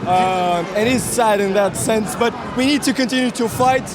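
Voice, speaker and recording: A man from Portugal, answering haltingly in an outdoor street interview as a protester. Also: sits high. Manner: hesitant, halting delivery. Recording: outdoor street with crowd behind. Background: crowd noise.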